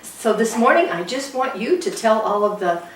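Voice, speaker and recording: A woman's voice: on the high side, expressive, moderate pace, slight background noise. A woman acting as host, informally introducing and chatting with a guest. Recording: echoey room, distant mic.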